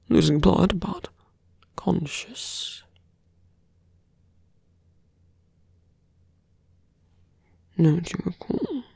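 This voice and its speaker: A suave male villain, acted out by a performer for an intimate ASMR roleplay. He speaks very slowly and deliberately, with heavy gravel and breath in the voice, often dropping close to a whisper. The sound is dry and close, and his manner is teasing, condescending and quietly menacing. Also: medium pitch, dry recording, exaggerated voice. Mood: soft, coaxing.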